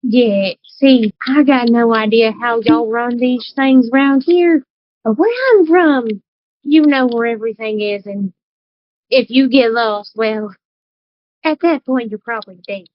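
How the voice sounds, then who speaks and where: Woman playing a blunt, high-voiced outsider adventurer. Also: measured pace, no room sound, muffled recording, close to an everyday voice. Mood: skeptical. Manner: folksy, blunt, skeptical. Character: wary, plain-spoken outsider adventurer. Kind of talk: acting a character in a tabletop game.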